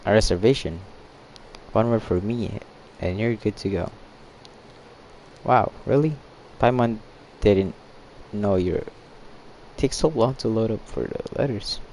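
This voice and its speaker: A man playing through a video game, reading dialogue aloud and commenting in a halting, offhand way. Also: lowish voice, creaky, darkish tone, slight background noise, rough recording. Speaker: gaming streamer.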